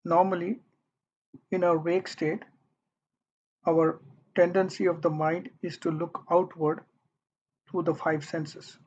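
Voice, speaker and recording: A meditation guide speaking gently and deliberately, leaving long pauses between short phrases. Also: mid-range voice, flat delivery, slight background noise. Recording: dull, muffled lo-fi audio.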